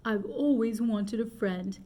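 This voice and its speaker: A woman voicing a small male toy teddy bear, gentle, wistful and wide-eyed with wonder, as in a storybook. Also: a little high, takes it slowly. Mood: tender.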